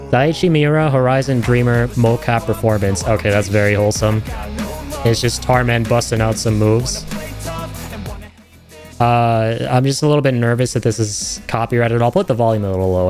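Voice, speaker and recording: A man hosts a gaming reaction video with a mid-pitched voice and music playing behind him. He talks in a chatty, informal fan's way, full of slang and enthusiasm, commenting casually on the footage. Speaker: host of a gaming reaction channel.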